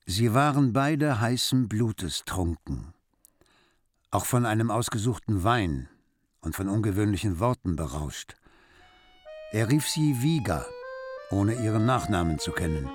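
A man's voice: fairly low pitch, animated, a little slow. A man giving scripted literary narration as the storyteller of a radio play. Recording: music behind the voice. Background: music.

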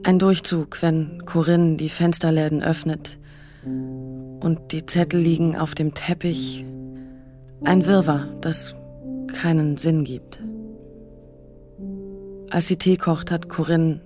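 A woman's voice: low-pitched, steady tone, slow, pauses often. A woman narrating a literary radio play from a script. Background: guitar music.

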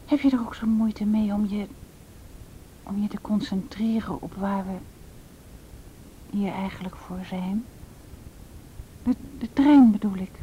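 An actress as a hushed, halting Dutch woman. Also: fairly even intonation, lo-fi sound, lightly acted. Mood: confiding, uneasy. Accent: Netherlands Dutch. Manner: halting, searching for words. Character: hesitant, introspective, uneasy female activist in hiding. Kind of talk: intimate radio drama acting. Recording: noisy radio drama recording, low rumble.

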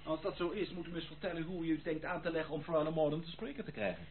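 An actor playing a wary, protective gentleman in a radio play, speaking with formal, guarded politeness. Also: a little high, animated, fast talker, close to an everyday voice. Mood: stern, protective. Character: wary, protective gentleman houseguest, stiffly courteous. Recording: tinny old radio recording, faint noise.

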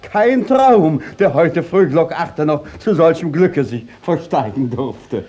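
Slow husky old-radio village judge, blustering liar type, stammers and dodges. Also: male voice, a little high, lively intonation, dark tone. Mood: obsequious, flustered. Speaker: actor in a radio drama. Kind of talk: 1950s German radio play acting.

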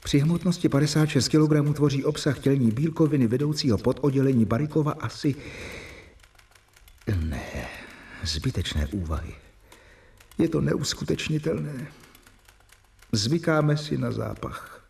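An actor playing a stern, volatile doctor who both narrates and acts in a radio drama. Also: male voice, mid-range voice, lively intonation, vocal fry, long pauses, warm tone. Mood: reflective narration. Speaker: narrator and character.

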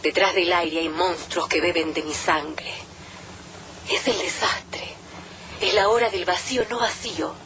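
A woman's voice: on the low side, a little slow, fairly bright tone. A woman doing a scripted reading in a radio production. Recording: faint background noise.

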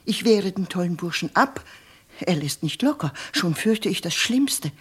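Urgent, very husky maidservant. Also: female voice, fairly low pitch, expressive, unhurried, exaggerated voice. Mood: agitated, urgent. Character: anxious, bold, outspoken loyal maidservant of a lady. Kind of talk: 1950s German radio drama acting. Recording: 1950s radio studio recording.

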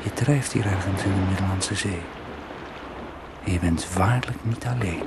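A man's voice: deep voice, moderate pace, vocal fry, music in the background. An actor playing a stubborn swimmer alone at sea in a radio play, philosophising and mocking himself as he reasons his way onward. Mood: determinedly calm. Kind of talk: radio play inner monologue. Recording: very noisy old radio play recording.

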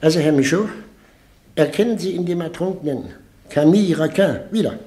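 An actor playing a matter-of-fact, officious morgue official in a German radio play. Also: male voice, fairly high pitch, a little fast, dark tone, echoey room, lightly acted. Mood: businesslike.

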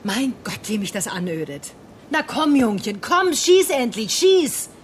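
A woman's voice: on the high side, big pitch swings, unhurried, husky, heavily acted. An actress playing a defiant female doctor held hostage in a radio drama, sarcastic, biting and taunting. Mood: scornful, taunting.

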